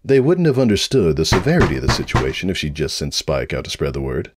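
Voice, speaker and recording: A man's voice: rumbling low, sing-song, fast. A man narrating a comic story as a dramatic reader. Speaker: narrator of fan fiction readings. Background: music.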